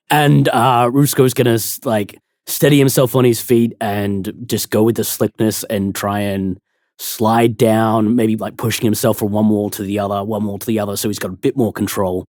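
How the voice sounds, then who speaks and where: Male tabletop player, lowish voice in a dry space, casual improvised chat. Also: steady tone, moderate pace, a little mellow, no echo.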